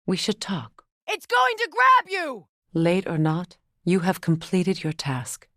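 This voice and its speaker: Video game warrior goddess: middle-aged, proud, grave and earnest, recorded dry. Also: female voice, on the low side, wildly swooping pitch, fairly bright tone, no echo, heavily acted. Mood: urgent battle shouting. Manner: measured, formal, earnest. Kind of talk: dramatic game dialogue.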